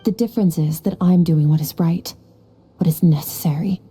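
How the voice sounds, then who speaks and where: A woman plays a cold, detached swordswoman from a game, with a low, very dark voice and flat, unemotional delivery. Faint music sits underneath. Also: normal speed, lightly acted. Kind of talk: video game cutscene dialogue.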